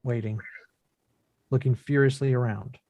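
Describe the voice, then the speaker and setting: A man narrating scripted screen action in measured, deliberate short phrases as the reader of stage directions. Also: mid-range voice, fairly even intonation, warm tone, dry recording, studio quality.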